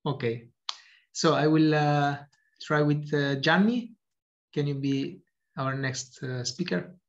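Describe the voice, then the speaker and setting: A man's voice: on the high side, slow. A man moderating a video call roundtable, polite and hesitant with many fillers. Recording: dull lo-fi call audio.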